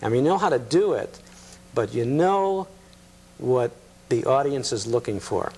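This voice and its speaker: A man's voice: mid-range voice, big pitch swings, unhurried, a little mellow, a little hiss or hum, poor audio. A man hosting a talk show, interviewing conversationally and rephrasing his questions as he goes.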